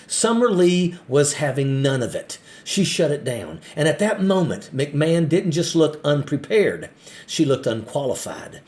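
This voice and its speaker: A man's voice: on the high side, a little mellow. A man delivering scripted political commentary as a host, in an indignant, punchy and emphatic manner.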